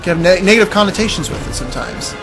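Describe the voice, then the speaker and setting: A man with a slightly high voice talks loosely and haltingly, like a podcast co-host at play. Game music runs clearly behind his casual commentary. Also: expressive. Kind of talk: casual commentary while playing a video game. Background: loud video game soundtrack.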